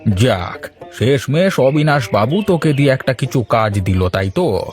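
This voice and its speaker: A man's voice: mid-range voice, very animated, a little slow, darkish tone, faint music behind. A man voicing a gossipy older village tea seller in a cartoon, folksy and inquisitive. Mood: curious, chatty. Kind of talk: cartoon voice acting.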